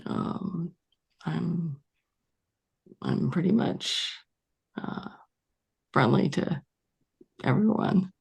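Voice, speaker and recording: A woman sharing personal experiences on a panel, speaking haltingly with many hesitant restarts. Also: low voice, vocal fry, a little mellow, husky.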